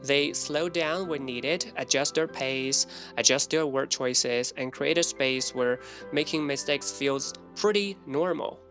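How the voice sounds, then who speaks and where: A man whose first language is Chinese speaks to camera in an earnest, casual vlog voice. His pitch sits in the middle, and he keeps a friendly, explaining tone with short laughs between points. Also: takes it slowly, hardly any pauses, fairly bright tone, faint music behind. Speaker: English learner and vlogger. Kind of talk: casual scripted talk to camera.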